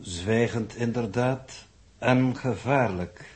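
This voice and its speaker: Man playing slow, deadpan mission coordinator. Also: mid-range voice, natural voice. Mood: impassive. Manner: deadpan, courteous, measured. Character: formal, expressionless, stone-faced male mission coordinator. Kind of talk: 1960s Dutch radio play acting.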